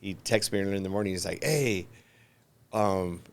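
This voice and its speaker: A man's voice: sits low, moderate pace. An American man hosting a podcast in a casual, informal chatty style. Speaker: podcast host. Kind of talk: casual chat.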